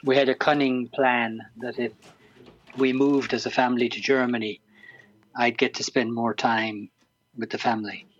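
A man's voice: medium pitch, little pitch movement, moderate pace, lo-fi sound. A man in his sixties with an Irish accent, an interview guest talking casually with many ums and restarts. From Ireland. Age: 60s. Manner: hesitant, lots of ums and restarts.

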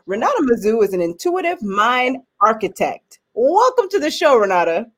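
A woman's voice: a little high, sing-song, normal speed. A woman hosting a podcast, welcoming her guest with polite introductions. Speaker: podcast host. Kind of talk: podcast interview.

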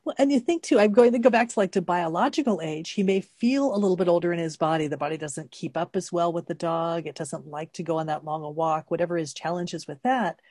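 A woman in her sixties talks through her thoughts in a relaxed, unhurried chat. Her voice sits fairly low and the sound is dry and close, with no room behind her. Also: no echo. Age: 60s. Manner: thoughtful, explains at length, laughs easily. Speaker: co-host. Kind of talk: casual chat.

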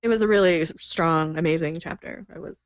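A woman's voice: low-pitched, a little fast, dry recording. An enthusiastic woman in her forties co-hosting a podcast in a casual, chatty way that breaks into laughter easily. Her sound is dull and lo-fi, and the room is dry. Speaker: podcast co-host.

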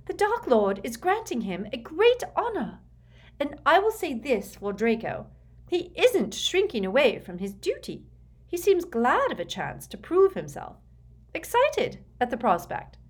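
A woman voicing a haughty, ruthless, fanatical witch in an audiobook, proud and forceful. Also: high voice, wildly swooping pitch, unhurried, pitched above the performer's own voice, exaggerated voice. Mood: haughty, indifferent.

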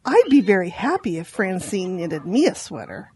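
A woman voicing a chatty, excitable female farm animal in a children's audio drama. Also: mid-range voice, moderate pace, husky, no room sound. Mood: chatty, curious.

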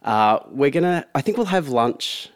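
A man's voice: medium pitch, big pitch swings, normal speed. A man hosting a podcast at home, chatting casually and wryly with a stuffy, blocked-up nose from a cold. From Australia. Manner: chatty, wry and enthusiastic. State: congested from a cold. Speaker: podcast host. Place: lounge room at home.

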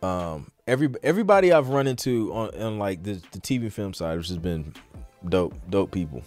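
A man's voice: lowish voice, animated, fairly quick, darkish tone. A man hosting a live question-and-answer podcast as a music producer, talking in an informal, slangy, rambling conversational way. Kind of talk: casual live Q&A chat.

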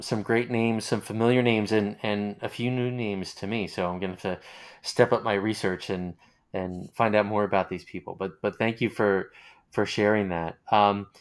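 A man interviewing a guest in a conversational style as the host. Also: lowish voice.

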